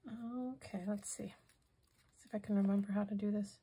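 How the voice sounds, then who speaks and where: A hoarse woman demonstrating a craft project in casual tutorial style, softly thinking aloud and drifting into whispered asides. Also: mid-range voice, fairly even intonation, moderate pace. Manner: soft-spoken, musing, drifts into whispered asides.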